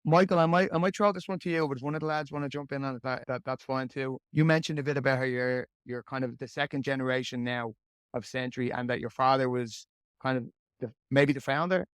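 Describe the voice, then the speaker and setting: Young podcast host, flat delivery, chatty and rambling, dry sound. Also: male voice, mid-range voice, fast talker, clean tone, dry recording. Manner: friendly, rambling, many restarts. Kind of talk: interview hosting.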